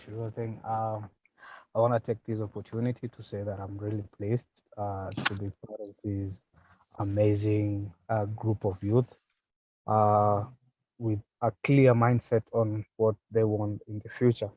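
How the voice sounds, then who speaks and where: A man from Kenya, a youth ambassador introducing himself politely on a panel, halting with fillers. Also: on the low side, level tone, dark tone. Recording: dull dry video call audio.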